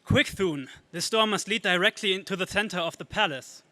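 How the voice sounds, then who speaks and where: A man's voice: high-pitched, brisk, pushed and bright, no echo. A man playing a brave young Earth hero, earnest and urgent, in a 1930s radio serial style. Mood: urgent resolve.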